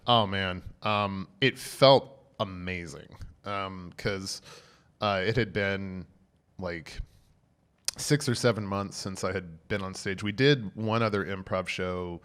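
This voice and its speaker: A man's voice: low voice, slow, a bit bright, clear, resonant tone. A man around forty, a guest comedian in a casual podcast chat, rambling with many fillers and frequent laughs. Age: 40s.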